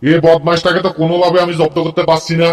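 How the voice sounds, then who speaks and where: Exasperated comic schoolmaster, male actor; quick, raised voice pitch, scolding and scheming, music behind. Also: completely flat delivery, close to an everyday voice. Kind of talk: comic cartoon dialogue.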